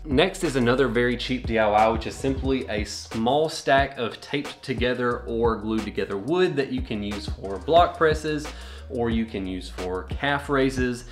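A man's voice: medium pitch, unhurried. A young man hosting a home gym channel, explaining do-it-yourself equipment in a practical, matter-of-fact way in a garage gym. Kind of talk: casual how-to explanation. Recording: echoey room with faint music.

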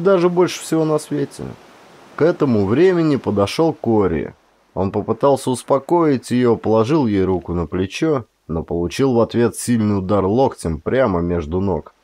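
A man reading a story as an audiobook narrator, in an expressive, dramatic storytelling manner. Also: mid-range voice.

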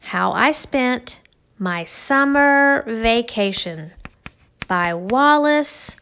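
A woman reading a children's storybook aloud with expressive, deliberate, theatrical phrasing. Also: on the high side, clear, resonant tone. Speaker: storybook reader.

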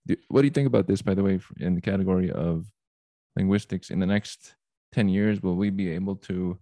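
A man in his thirties hosts a casual interview, his voice very low, dark and gravelly. He speaks close to a stand mic in a small plain room, and the sound is dry. His talk is relaxed and halting, full of fillers. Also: no room sound. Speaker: podcast host. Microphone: studio mic on a stand in front of him.

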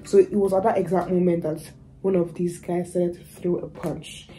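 Chatty young woman, slightly raspy voice. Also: lowish voice, little pitch movement, moderate pace, dark tone, a little hiss or hum. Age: young adult. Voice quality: raspy from lost voice. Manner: chatty, rambling with fillers and restarts. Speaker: student vlogger. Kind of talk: casual vlog storytime. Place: small student bedroom indoors.

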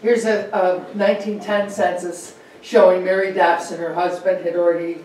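A woman's voice: lowish voice, level tone, moderate pace, a little mellow, a little hiss or hum. A woman giving a chatty local history talk over slides, with fillers and asides. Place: meeting room with projector. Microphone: far room mic. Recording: very echoey room, distant mic.

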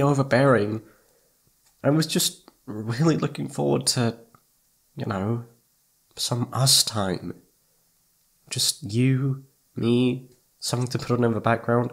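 A man acts out a clingy, loving young boyfriend in an ASMR audio roleplay. His medium-pitched voice is soft and pleading, stretching words out and hesitating as he seeks attention. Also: measured pace, creaky, pauses often. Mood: shy, lonely. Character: needy, affectionate young boyfriend wanting attention.

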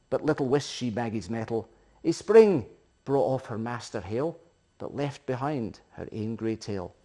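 A middle-aged Scottish man recites narrative verse in Scots like a practised storyteller. His voice sits at a medium pitch. The delivery is theatrical and emphatic, moving between hushed phrases and bigger, rousing ones. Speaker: poetry reciter. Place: living room, indoors.

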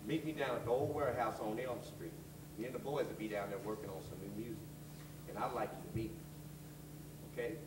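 A man's voice: lowish voice, close to an everyday voice. A man playing a kindly, wary old janitor with a folksy Southern way of talking in a stage play. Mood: warm, conspiratorial. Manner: folksy, drawn-out storytelling. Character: kindly, wary old blues-loving orphanage janitor, fatherly mentor. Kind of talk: stage acting. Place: theatre stage, far camera. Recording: distant echoey theatre stage, noisy.

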